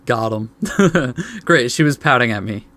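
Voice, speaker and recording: A man's voice: medium pitch, measured pace, bright, forceful tone, no room sound. Dry-sounding man reading a game aloud casually. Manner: informal, stumbles over the text and corrects himself. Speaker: let's play host. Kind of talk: casual visual novel read-aloud with commentary.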